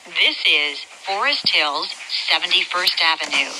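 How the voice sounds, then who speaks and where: An American woman reading scripted transit announcements in a measured, clearly enunciated way, in segmented phrases. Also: sits low, expressive, bright, forceful tone. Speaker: train announcer. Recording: noisy train announcement over speaker.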